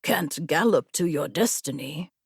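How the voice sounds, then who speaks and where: A woman's voice: low voice, expressive, unhurried, creaky, husky, dry recording, studio quality, pitched below the performer's own voice, heavily acted. A woman voicing a wry, weary older male rider with an easygoing manner in a fantasy audiobook. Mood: wry amusement. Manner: wry, laid-back. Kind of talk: audiobook fantasy character dialogue.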